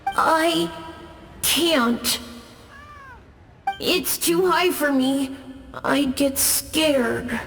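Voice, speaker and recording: Timid, gentle ghost boy, voiced by a woman, high and soft-spoken, with a hall-like echo. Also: extremely slow, gravelly, pauses often, soft background music, heavily acted. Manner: shy, hesitant, soft-spoken. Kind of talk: game cutscene voice acting.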